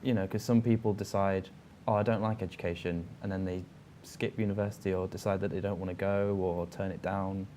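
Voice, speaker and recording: A man's voice: fairly low pitch, mellow, a little hiss or hum. A young man hosting a student talk show in a casual, chatty style. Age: young adult. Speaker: student radio show host. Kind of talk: casual banter and discussion.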